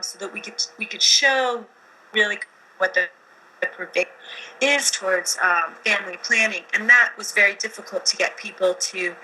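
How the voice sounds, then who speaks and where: A woman's voice: medium pitch, pushed and bright, rough recording. A woman from Afghanistan who grew up in the States, a documentary filmmaker answering interview questions candidly, with fillers and restarts.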